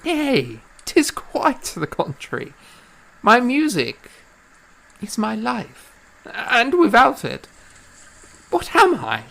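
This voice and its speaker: An actor playing an earnest, impassioned and self-doubting young composer in a period audio drama, with stammering, effusive, formal old-fashioned speech. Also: male voice, very high-pitched, huge pitch swings, takes it slowly, gravelly, pauses often, fairly bright tone, exaggerated voice, rough recording. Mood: impassioned, yearning.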